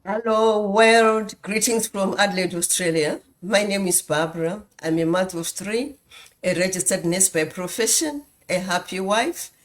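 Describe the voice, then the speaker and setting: A woman's voice: mid-range voice, moderate pace. A woman from Zimbabwe giving an earnest motivational talk as a guest speaker, asking rhetorical questions and stressing lists of points. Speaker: guest speaker, nurse and business mentor. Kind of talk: motivational talk on a group call.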